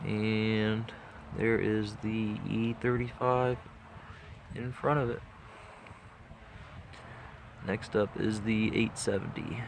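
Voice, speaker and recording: A man's voice: lowish voice, level tone, takes it slowly, long pauses, background noise. A man with a stuffed-up nose, talking casually and rambling with lots of fillers. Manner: laid-back, rambling with many fillers. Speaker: diecast model collector vlogger. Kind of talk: casual walkthrough talk.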